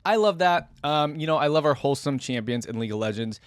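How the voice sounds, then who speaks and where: A man's voice: fairly high pitch, lively intonation, quick pace, smooth, no echo, studio quality. A man hosting a reaction video, talking casually and enthusiastically in a rambling way with plenty of "like" thrown in. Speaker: reaction video host and fan. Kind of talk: casual reaction commentary and story reading.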